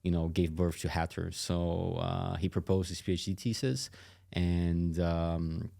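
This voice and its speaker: A man in his thirties giving casual interview answers as a tech executive, halting and thinking aloud as he goes. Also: deep voice, fairly even intonation, vocal fry, mellow. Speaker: tech company chief executive and co-founder, podcast guest.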